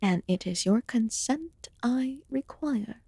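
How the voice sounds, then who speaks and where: A woman voicing an aloof, curious fae witch healer in a fantasy ASMR roleplay, speaking formally and softly, often dropping into a whisper. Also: on the high side, animated, husky, no room sound, full character voice. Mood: calm, solemn. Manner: formal, archaic, deliberate phrasing.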